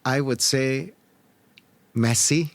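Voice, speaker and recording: A middle-aged man answering interview questions as an experienced business leader, in measured, earnest, short deliberate phrases. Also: mid-range voice, dry recording. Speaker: entrepreneur and former corporate leader, interview guest. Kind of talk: reflective conversational interview answers.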